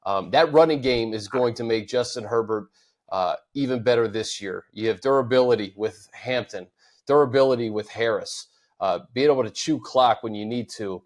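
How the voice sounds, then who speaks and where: A man hosting a sports talk show, laying out his points in a steady, methodical way. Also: mid-range voice, normal speed. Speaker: host.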